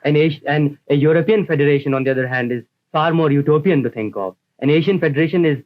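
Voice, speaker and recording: A man's voice: a little high, fast. A teenage boy from India, a student debater in a 1950s broadcast, arguing insistently with some hesitations. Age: teens. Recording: muffled 1950s broadcast recording.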